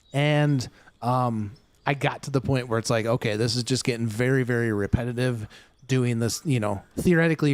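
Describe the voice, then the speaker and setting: A man's voice: medium pitch, darkish tone, dry recording. Chatty male game streamer, dry sound. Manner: rambling, many fillers and restarts; chatty, talks straight to chat viewers. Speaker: livestream gaming host. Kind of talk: casual livestream chat.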